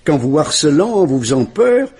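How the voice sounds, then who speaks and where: A man's voice: on the high side, very animated, normal speed, darkish tone, husky, exaggerated voice. An actor playing a police commissioner who questions a frightened woman in a French radio drama. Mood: questioning.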